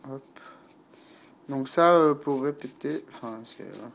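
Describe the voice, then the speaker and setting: A man's voice: mid-range voice, lively intonation, slow, gravelly. A man with a stuffy, blocked nose from a cold, unhurriedly talking through a painting tutorial and thinking aloud with many fillers. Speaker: miniature painting hobbyist.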